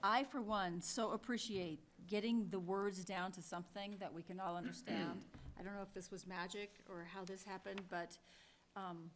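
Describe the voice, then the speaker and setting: A woman's voice: medium pitch, steady tone, moderate pace, a bit bright, a little hiss or hum. A woman chairing a town council meeting, speaking plainly and thinking aloud with frequent restarts.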